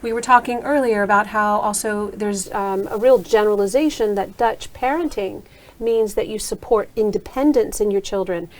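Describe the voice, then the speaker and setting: A woman's voice: mid-range voice, normal speed, few pauses, low-quality recording. A woman hosting a conversation in a university studio, asking reflective follow-up questions. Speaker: host. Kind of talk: conversational interview.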